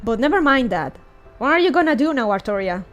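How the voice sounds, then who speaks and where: A wry fantasy game schemer read by a woman, blunt and conversational. The voice sits high. Faint music runs underneath. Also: sing-song, brisk, a bit bright, dull audio.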